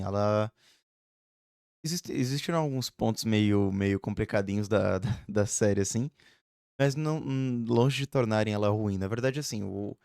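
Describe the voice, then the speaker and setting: A man's voice: on the low side, a little mellow, clean tone, no echo, studio quality. A man in his early twenties, chatting casually and rambling with lots of fillers in a home vlog. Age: 20s. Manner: rambling, chatty, frequent fillers and tag questions. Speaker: vlogger. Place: home room.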